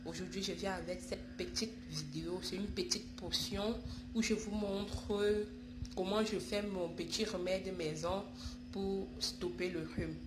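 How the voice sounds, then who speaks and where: A woman's voice: medium pitch, fairly even intonation, a little slow, roomy sound, music in the background. A woman with a stuffy voice from a cold, hosting a home remedy tutorial and calling her viewers darlings, often restarting her phrases. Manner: affectionate, calls viewers darlings, repeats and restarts. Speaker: YouTube channel host. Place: home, indoors.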